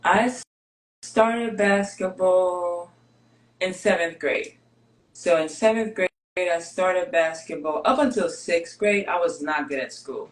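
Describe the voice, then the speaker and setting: Greek-born woman guest with Greek as her first language, candid and measured, roomy echo. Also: lowish voice. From Greece. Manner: candid, steady, measured with pauses. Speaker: guest, basketball player. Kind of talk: reflective interview storytelling.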